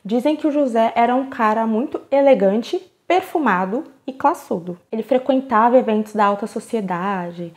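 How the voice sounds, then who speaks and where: A woman's voice: fairly high pitch, a little mellow. A Brazilian woman hosting a true crime channel, telling the story in a casual, conversational way with chatty asides. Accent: Brazilian Portuguese.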